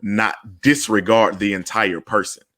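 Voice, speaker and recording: A man hosts a panel in a fairly low voice, recorded dry with no room sound. He explains points deliberately and emphatically as he moderates the discussion. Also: steady tone, sharp tone, dry recording. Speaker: panel host.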